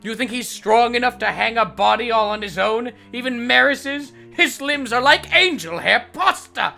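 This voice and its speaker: Pompous male psychiatrist, played by a man, middle-aged, forceful and gravelly, grand diction, comedy fandub with music behind. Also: very high voice, expressive, normal speed, full character voice. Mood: boastful outrage. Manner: haughty, theatrical, ornate diction. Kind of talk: comic fandub acting.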